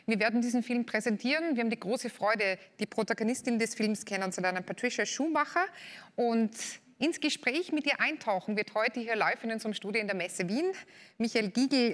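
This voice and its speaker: A woman moderates a live trade-fair event, introducing the next segment in a formal, upbeat manner. Her voice sits at an ordinary pitch for a woman. A long reverb from the hall trails behind her words. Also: a bit bright. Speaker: event presenter.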